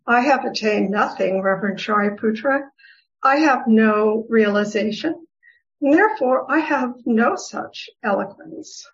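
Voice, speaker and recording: A woman reading the part of a serene, wise goddess who gently teases, in a formal scripture reading with deliberate delivery. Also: fairly high pitch, expressive. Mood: serene, instructive. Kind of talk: dramatic reading of Buddhist scripture. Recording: dull, lo-fi recording.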